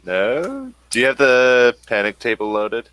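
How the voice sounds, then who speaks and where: A man acting as game master of a tabletop role-playing game, relaxed and quick to laugh. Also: medium pitch, smooth, no room sound. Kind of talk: running a tabletop game online.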